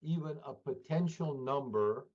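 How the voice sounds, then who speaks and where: A man giving spontaneous analysis as an interviewed expert, halting and repeating words as he thinks. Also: medium pitch. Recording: dull lo-fi interview audio.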